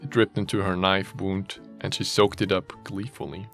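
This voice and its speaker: A man's voice: low-pitched, level tone, normal speed, creaky, a bit bright, faint music behind. A man reading a fanfiction story aloud as a dramatic narrator, mock-serious and often amused, with joking asides. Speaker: story reader.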